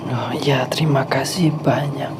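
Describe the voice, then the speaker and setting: Performer as channeled Japanese comic artist, male: hushed, breathy, terse, over a noisy rumbling background. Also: fairly even intonation, close to an everyday voice. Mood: quiet, reserved. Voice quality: breathy, whispery. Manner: terse, halting, quiet. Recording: noisy recording with vehicle rumble.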